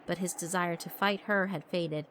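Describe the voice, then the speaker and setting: A woman's voice: fairly low pitch, fairly even intonation, normal speed, fairly bright tone, a little hiss or hum. A woman giving scripted narration for a horror visual novel. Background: background music.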